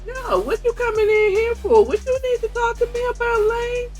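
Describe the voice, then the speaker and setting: A woman's voice: extremely high pitch, fairly even intonation, normal speed, faint music behind, voice pushed up. A woman with an American accent voicing a commanding, suspicious male crime boss from a novel, slangy and challenging.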